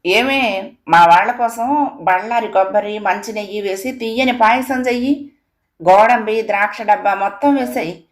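A woman's voice: mid-range voice, normal speed, close to an everyday voice. A woman voicing a greedy, domineering rich village man in a storybook tale, boastful and showy as he gives orders. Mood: boastful, commanding. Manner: bossy, showy.

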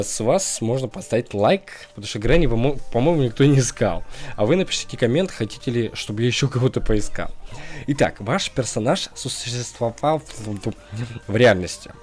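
A man's voice: mid-range voice, a little mellow. A young man, a gaming YouTuber, chatting casually over a screen recording, joking and laughing often. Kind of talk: casual let's-play commentary.

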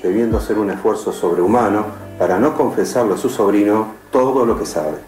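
A man's voice: lowish voice, a little fast, a little mellow, close to an everyday voice. A man giving scripted narration for a radio drama. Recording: echoey, distant mic, music behind. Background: music.

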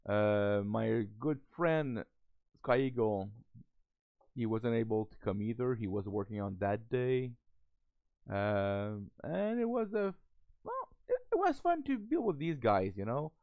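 Hoarse, gravelly man on a tinny mic. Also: mid-range voice, big pitch swings, no echo. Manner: halting, many fillers and restarts. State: losing his voice, has a cold. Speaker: Minecraft server host. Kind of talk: casual gameplay voice-over. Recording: tinny phone-like lo-fi sound.

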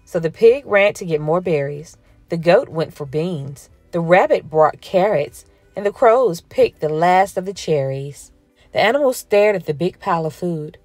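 A woman slowly reading a children's picture book aloud in a gentle storytelling manner. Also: lowish voice, expressive, no echo, soft background music. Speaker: storybook reader. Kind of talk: children's read-aloud narration.

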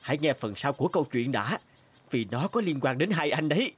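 A man voicing a poor, patient guitarist who turns fisherman in a fairy-tale cartoon, with expressive, exclamatory delivery. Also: a little high, a little fast, husky. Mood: content.

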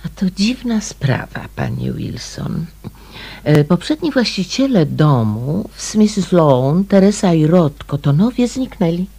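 A woman's voice: fairly low pitch, big pitch swings, takes it slowly, dark tone, slightly rough, full character voice. An actress playing a polite, persistent lady amateur sleuth in a radio drama, courteous and a little hesitant as she makes inquiries. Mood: earnest.

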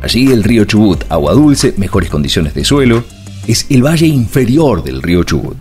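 A man's voice: on the low side, sing-song, moderate pace, a little mellow, faint music behind. A middle-aged man, narrating a road-trip travel video and explaining local sights one after another. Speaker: travel vlogger.

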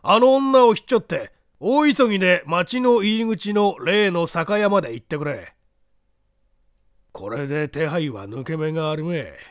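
Young gang leader played by a male reader: coarse, commanding, high and smooth, storybook crime tale, dry recording. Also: lively intonation, moderate pace, no room sound, lightly acted. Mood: scheming, urging. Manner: coarse, commanding rustic speech.

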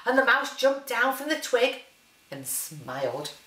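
A woman reads a picture book to children like a teacher at story time. Her pitch moves up and down in a lively way, her phrasing is slow and dramatic, and the room adds some echo. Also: far from the mic. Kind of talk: expressive storybook reading. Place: living room, indoors. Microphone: camera a short distance away. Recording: roomy living-room echo.

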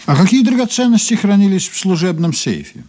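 A man's voice: high-pitched, big pitch swings, fairly quick, a little mellow. A male actor playing a sober criminal investigator in a Soviet radio drama, restrained, formal and matter-of-fact. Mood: probing, terse. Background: music.

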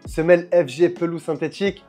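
A young man reviewing football boots to camera in a room lined with shelves, talking in an energetic, chummy way and calling the viewer his soldier. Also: on the high side, a little fast, over music. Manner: energetic, chummy, addresses viewer as "mon soldat". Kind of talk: casual product review to camera.

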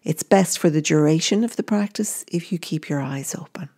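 A woman gently guiding a meditation in short, unhurried phrases. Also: deep voice, slightly rough. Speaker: meditation guide. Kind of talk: guided meditation narration.

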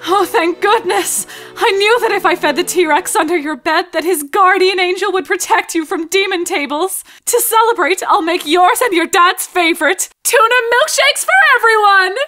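A woman's voice: extremely high pitch, normal speed, few pauses, bright, forceful tone, soft background music. A woman playing a sweet, fussing, dotty mother in an anime parody dub, with a gushing manner. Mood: fussing, cheerful.

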